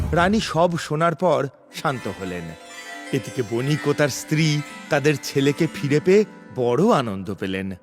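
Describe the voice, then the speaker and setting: A man narrating a scripted fairy tale as a dramatic storyteller. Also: mid-range voice. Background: music.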